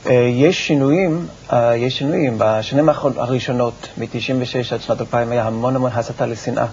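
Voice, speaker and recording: A man giving explanatory answers as an expert guest in a television interview, with a halting, repetitive delivery and a creaky voice. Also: medium pitch, a little mellow. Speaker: research institute director, interview guest. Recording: muffled, noisy TV broadcast recording.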